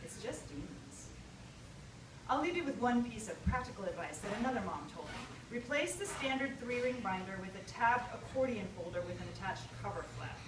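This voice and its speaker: A high-voiced woman reads a humorous essay at a live event, emphatic and theatrical. Her pitch swings widely, and she is recorded at a distance with strong echo. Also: animated. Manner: theatrical, emphatic, with deliberate pauses. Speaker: writer reading own essay. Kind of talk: humorous personal essay read to an audience. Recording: echoey, distant live recording with loud noise.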